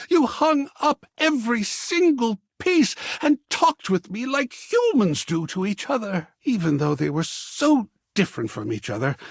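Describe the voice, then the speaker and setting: An actor playing an effusive, romantic living artwork in a video game, with florid, rhapsodic, theatrical delivery. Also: male voice, high voice, gravelly, slightly rough, no echo, clean studio sound, heavily acted. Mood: lovestruck, earnest. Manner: florid, rhapsodic, savouring pauses, swooping dramatically. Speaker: voiced dateable character in a dating simulator game.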